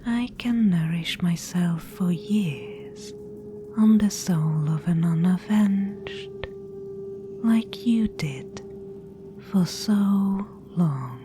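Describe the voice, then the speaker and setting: A woman voicing a sinister, patient spider-woman guide in a breathy, deliberate whisper for a fantasy ASMR roleplay. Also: fairly low pitch, lively intonation, slight background noise. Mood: quietly gloating. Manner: slow, hushed, deliberate. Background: music.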